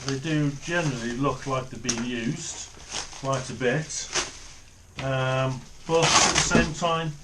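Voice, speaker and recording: Man, middle-aged, slow pace, casual hands-on commentary, thinking aloud, clear background noise. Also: medium pitch. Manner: unhurried, thinking aloud while handling items. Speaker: hobbyist reviewer. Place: small home office, indoors.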